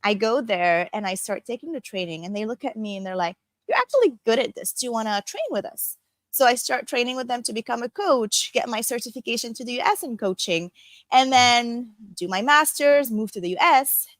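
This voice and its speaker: A woman from Lebanon in her thirties talks about her coaching work. Her voice sits a bit high and is close and dry. She speaks thoughtfully, pausing now and then. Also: animated, no echo. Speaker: coach and corporate trainer. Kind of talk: interview guest.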